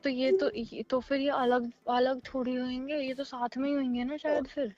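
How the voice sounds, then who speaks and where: High-voiced woman reasoning on a muffled call. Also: little pitch movement, moderate pace, darkish tone. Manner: persuasive, reasoning, repeats phrases to get a word in. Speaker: caller in a private phone conversation. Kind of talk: casual phone chat. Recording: dull lo-fi phone call recording, music behind.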